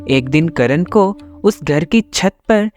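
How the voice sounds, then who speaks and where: A man's voice: a little high, animated, slow, darkish tone, faint music behind. A man narrating a scripted children's cartoon story as storyteller.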